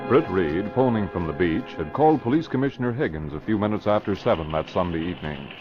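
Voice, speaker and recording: An actor narrating an old radio mystery drama in a measured, solemn, dramatic way. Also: male voice, low voice, lively intonation. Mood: grave. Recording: muffled vintage radio recording with music.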